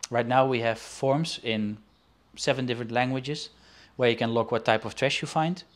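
A man in his forties from the Netherlands, talking in a casual interview from a shelf-lined room over a video call, pausing often with fillers and restarts. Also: medium pitch. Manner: halting, with frequent fillers and restarts. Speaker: guest artist and angler. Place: indoor studio room with shelves.

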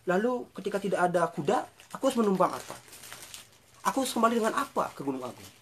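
Elder male god, indignant and demanding, high and sharp, as a storyteller puts him on. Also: very animated, fast, slight background noise. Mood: puzzled. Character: proud elder male deity, angry brother.

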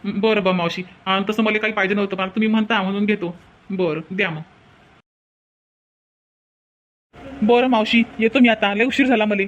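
A humble, honest young cartoon villager played by a man, with a high voice for a man. He speaks very fast, in plain rustic Vidarbha Marathi. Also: fairly even intonation, a bit bright. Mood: modest, polite. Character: honest, humble young working man returning lost gold. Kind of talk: comedy cartoon dialogue.

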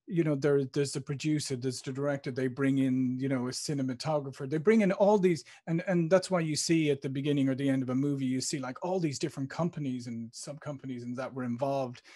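A man in his fifties with an Irish accent hosts a video interview, agreeing warmly and thinking aloud with restarts into a podcast mic. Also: medium pitch, fast, hardly any pauses. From Ireland. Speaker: interview host. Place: home studio with branded backdrop. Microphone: podcast microphone on stand.

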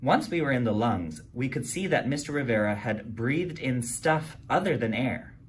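Animated storybook reader, a man. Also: normal speed, a little hiss or hum. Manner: animated read-aloud, jumps high for character quotes. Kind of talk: reading a picture book aloud to children.

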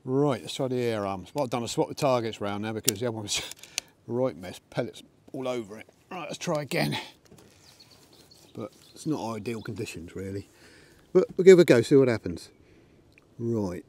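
A man's voice: mid-range voice, lively intonation, moderate pace, a little mellow. A middle-aged man, chatting casually outdoors in a windy field while shooting an air rifle and talking to his dogs. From England. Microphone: camera on a tripod, then handheld.

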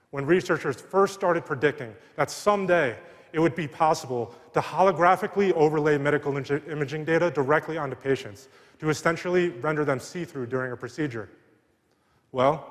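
A man in his thirties, a surgeon and founder, giving a measured, scripted keynote speech on a large arena stage with a headset mic. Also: fairly high pitch. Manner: measured, earnest delivery. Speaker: surgeon and medical tech founder. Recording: long hall reverb from arena.

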